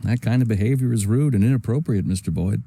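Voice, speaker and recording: An actor playing an aggrieved, pompous gangster in a video game, gravelly and stiffly formal in his indignation. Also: lowish voice, fast, dark tone. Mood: indignant. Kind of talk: naturalistic video game voice acting.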